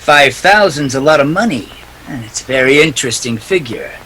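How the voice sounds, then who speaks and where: A man's voice: mid-range voice, animated, fairly quick, sharp tone, a little hiss or hum. A man acting a wisecracking, cool private detective in a 1940s radio play, clipped and wry. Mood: wry, unruffled.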